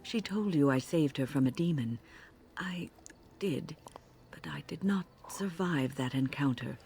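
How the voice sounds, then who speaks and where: An actress playing an elderly woman mage in a video game, speaking in a grave, deliberate, hushed and gentle way. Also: sits low, expressive, gravelly, slight background noise, full character voice. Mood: solemn, confiding. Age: older. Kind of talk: video game voice acting, measured storytelling. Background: game music.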